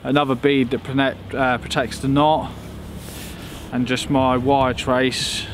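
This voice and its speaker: A man's voice: mid-range voice, level tone, a little slow. A young man talking casually to camera outdoors as an angling vlogger. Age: young adult. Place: outdoors by a river boatyard. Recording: clearly noisy outdoor ambience.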